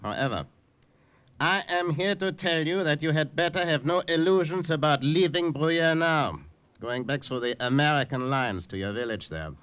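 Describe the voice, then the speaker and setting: Smooth, menacing German commandant. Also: male voice, on the high side, expressive, normal speed, fairly bright tone. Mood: stern, condescending. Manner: formal, condescending. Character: stern, suave, menacing German army commandant. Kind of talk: 1950s radio drama acting.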